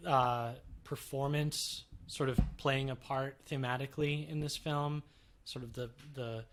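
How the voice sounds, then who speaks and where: A man on a podcast panel discussing film, reflective and quick to agree. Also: medium pitch, fairly even intonation, fairly bright tone, a little hiss or hum. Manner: reflective, agreeable, frequent backchannels.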